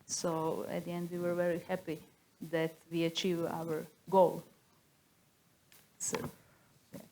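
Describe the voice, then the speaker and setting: A woman with a Croatian accent speaks in a slightly low voice. She gives a spontaneous panel answer, hesitant and halting, with some faint noise in the recording. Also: completely flat delivery, warm tone, rough recording. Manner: hesitant, halting non-native English. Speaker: university researcher on a panel.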